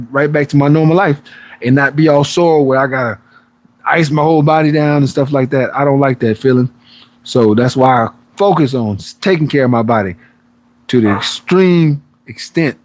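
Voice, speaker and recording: A man's voice: fairly high pitch, dry recording. Laid-back man in his forties, dry sound. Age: 40s. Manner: laid-back, conversational, rambling with restarts and "man". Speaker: fitness livestream host. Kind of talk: casual live-stream chat answering viewer comments.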